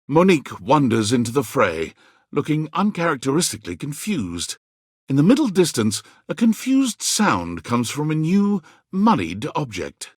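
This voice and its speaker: An arch game narrator, husky and dramatic. His pitch travels widely as he tells the story, dry and close. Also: huge pitch swings, normal speed, no echo, full character voice.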